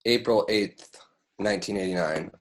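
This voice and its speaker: A man's voice: low voice, normal speed, low-quality recording. A man in his thirties, a music producer and DJ, giving thoughtful, hesitant interview answers full of fillers and restarts. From born in London, raised in Paris and New York. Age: 30s.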